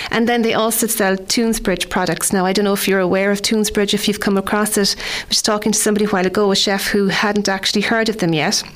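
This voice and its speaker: An Irish woman in her forties, chatting casually on radio about food, rambling with frequent restarts. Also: mid-range voice. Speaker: food contributor.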